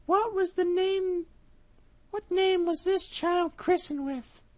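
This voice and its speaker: A tiny, trusting storybook mouse with a squeaky, excitable voice. It sits very high above her own voice, loud and bright with eagerness. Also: takes it slowly. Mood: puzzled.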